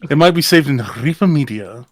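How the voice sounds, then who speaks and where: A man's voice: on the high side, animated, fairly quick. A man in casual banter on a live stream, speaking as one of the show's writers.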